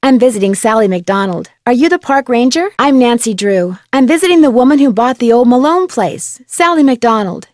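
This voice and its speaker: A woman playing a curious, upbeat young female detective in a video game, speaking clearly in a polite, friendly, inquisitive way. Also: a little high, lively intonation, normal speed, few pauses, darkish tone, dry recording, studio quality, close to an everyday voice. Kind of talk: scripted video game voice acting.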